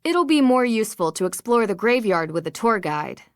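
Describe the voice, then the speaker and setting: A woman's voice: medium pitch, sing-song, normal speed, dry recording. Young female sleuth, polite, probing, articulate, earnest game dialogue, dry studio sound. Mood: curious. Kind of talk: scripted video game dialogue.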